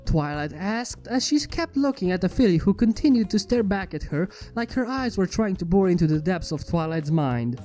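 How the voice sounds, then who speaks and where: A woman's voice: lowish voice, very animated, normal speed, hardly any pauses, dark tone. A woman reading a scripted fan fiction story as its narrator. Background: background music.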